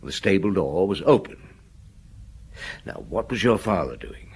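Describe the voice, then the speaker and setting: An actor playing a patient, shrewd police detective in a radio drama, coaxing answers in a husky voice. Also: male voice, sits low, very animated, exaggerated voice. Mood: quietly pressing. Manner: probing, coaxing, unhurried questioning. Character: patient, shrewd male police detective gently questioning a boy.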